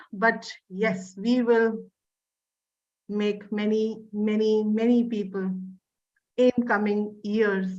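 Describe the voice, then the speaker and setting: A woman's voice: mid-range voice, highs cut off. A woman from India hosting an online group call, hesitant with many fillers. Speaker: host.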